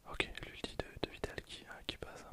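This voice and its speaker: A person whispering casual, slangy ASMR commentary over a video game, in breathy, hesitant phrases that trail off. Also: fairly quick. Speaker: host of an ASMR gameplay channel.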